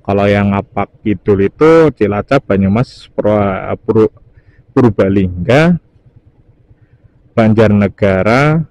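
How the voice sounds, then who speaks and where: A man's voice: fairly low pitch, animated, slow pace, pauses often, darkish tone. A young man, chatting casually to viewers as he commentates on his motorcycle ride. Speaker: motovlogger. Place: outdoors, riding a motorcycle on a highway. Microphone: helmet-mounted mic. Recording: clear engine and traffic noise.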